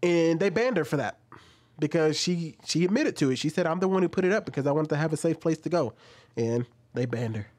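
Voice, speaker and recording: A man in his thirties hosting casual commentary at home into a studio mic, talking with animated, questioning emphasis. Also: a little high, brisk. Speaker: commentary host. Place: small room at home, indoors. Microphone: studio mic on an arm, wearing headphones.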